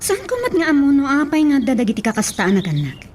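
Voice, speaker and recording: A woman's voice: high-pitched, sing-song, quick pace, a little mellow, a little hiss or hum. A woman playing a concerned, inquisitive young best friend in an audio drama. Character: sympathetic, curious young female best friend.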